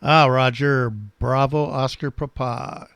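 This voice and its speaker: A man hosting casual amateur radio contacts, talking in a friendly, halting way. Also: medium pitch, fairly even intonation, a bit bright, clear, resonant tone, no echo. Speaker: amateur radio operator and channel host.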